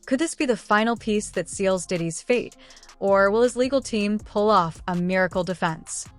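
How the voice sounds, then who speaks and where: A woman narrates scripted news in a dramatic style. Her pitch sits in the middle range for a woman, and faint music plays behind her. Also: moderate pace.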